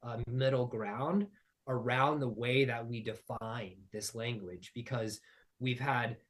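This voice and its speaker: A man's voice: mid-range voice, slow, a bit bright. Man musing in long abstract runs. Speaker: podcast guest. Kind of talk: casual spiritual conversation.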